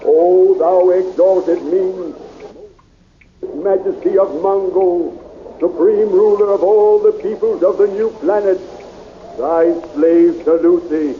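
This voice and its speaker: A man's voice: high-pitched, steady tone, takes it slowly, slight background noise. An actor playing a servile male herald at an alien court in a 1930s radio drama, formal and archaic. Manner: formal and grovelling, archaic speech. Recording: thin, tinny old radio recording.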